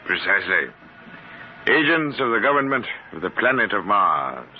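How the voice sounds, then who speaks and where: A stiff, precise professor type, very low voice, formal and pedantic; muffled vintage radio with light hiss. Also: male voice, sing-song, moderate pace. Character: odd, precise scholarly man seeking Martians. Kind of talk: 1950s radio drama acting.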